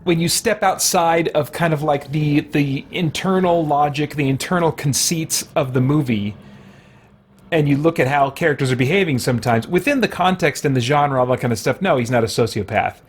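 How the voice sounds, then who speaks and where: A man does casual livestream commentary in a slightly high voice. He rambles and muses aloud to viewers. Light noise sits behind his voice. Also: moderate pace. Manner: rambling, thinking aloud, chuckling often. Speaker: game livestream host.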